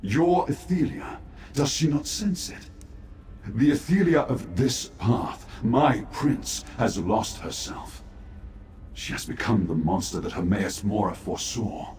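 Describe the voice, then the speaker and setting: A doomed, devoted retainer in a fantasy game, slow and formal with a lowish pitch. He sounds grave and pressing, in an echoey space with faint noise behind him. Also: animated, darkish tone. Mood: urgent, despairing. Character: despairing, loyal male servant of a fallen prince. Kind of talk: scripted fantasy video game dialogue.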